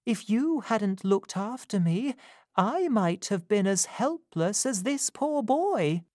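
A woman reading a storybook and voicing a kind, gentle young woman who speaks softly and pleadingly. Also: medium pitch, big pitch swings, takes it slowly, no room sound, clean studio sound, voice pushed up. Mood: tender.

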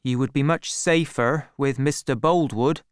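A reader voicing an earnest, slow-spoken male farmer. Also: mid-range voice, clean tone, no room sound, clean studio sound, lightly acted. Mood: worried, sad. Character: earnest, worried, lovesick farmer, a loyal man.

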